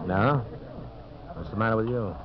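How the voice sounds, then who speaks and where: A man acting a cold, scheming business partner in a 1950s radio drama, measured and condescending. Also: fairly low pitch, lightly acted. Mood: cool, warning. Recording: muffled, noisy 1950s radio recording.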